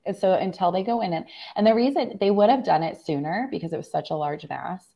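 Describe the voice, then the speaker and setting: A middle-aged American woman, hoarse from laryngitis, telling a personal story as a guest in a casual video-call interview. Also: fairly low pitch, fast talker, warm tone, lo-fi sound. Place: room at home, on a video call.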